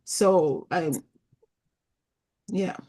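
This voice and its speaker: Woman talking in halting fragments. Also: fairly low pitch. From Africa. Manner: warm, restarting, thinks aloud in fragments. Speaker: chaplain in a peer review group. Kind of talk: group discussion.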